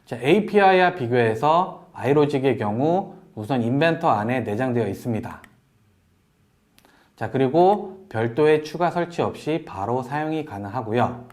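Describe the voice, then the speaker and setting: A man presenting a software tutorial in a polite, explanatory way, walking through each step as an instructor. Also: mid-range voice, expressive, normal speed. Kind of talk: software tutorial narration over screen demo.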